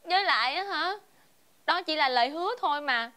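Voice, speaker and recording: A woman playing a defiant, sharp-tongued young daughter in a fairy-tale television drama, whiny, with a Southern Vietnamese accent. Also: high voice, animated, a little fast. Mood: defiant, disgusted. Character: defiant, aggressive young daughter refusing marriage.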